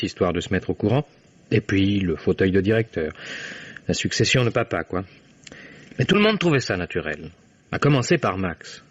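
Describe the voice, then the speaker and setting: A dull, old-fashioned radio recording carries a young man with a fairly low voice. He is a loyal, earnest friend and lawyer who speaks emphatically, in the theatrical manner of a fifties radio play. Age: young adult. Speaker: radio drama actor. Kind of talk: 1950s French radio drama acting.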